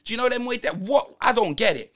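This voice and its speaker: A man's voice: very high-pitched, expressive, quick pace, a bit bright. A man playing a brash young London roadman football fan, ranting in heavy slang and tagging lines with blood and fam. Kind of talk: comedic football rant.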